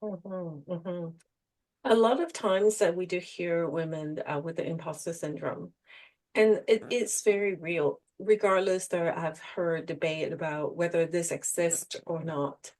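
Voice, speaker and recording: A woman's voice: fairly low pitch, unhurried, darkish tone. A middle-aged woman speaks. She is an executive coach answering as a podcast guest, in a conversational interview style. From Hong Kong.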